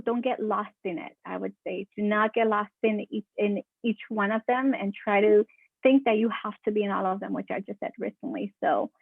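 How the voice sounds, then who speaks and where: A woman's voice: mid-range voice, no echo. Woman on a tinny call line. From California. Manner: chatty, restarts, repeats words for emphasis. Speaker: podcast guest, marketing consultant. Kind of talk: casual interview answers. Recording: dry, tinny phone-like call audio.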